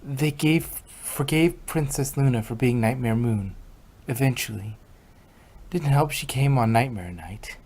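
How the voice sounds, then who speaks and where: An earnest, caring cartoon pony friend, played by a man in a mid-range voice. The delivery is gentle in intent, reassuring and slightly halting. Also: medium pitch.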